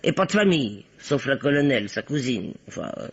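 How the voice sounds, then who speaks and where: An actress playing a bossy, self-assured older spinster who plays detective, speaking theatrically and with authority in an old radio play. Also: female voice, very deep voice, sing-song, a little fast, slightly rough, exaggerated voice. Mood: assertive. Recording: dull, lo-fi old radio recording.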